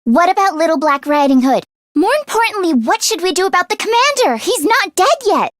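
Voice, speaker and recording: A woman voicing an excitable, earnest teenage girl inventor who gets easily flustered, in an expressive anime-style video game performance. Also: very high-pitched, brisk, no room sound, studio quality. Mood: surprised, brisk. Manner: expressive, swinging from deadpan grumbling to frantic outbursts.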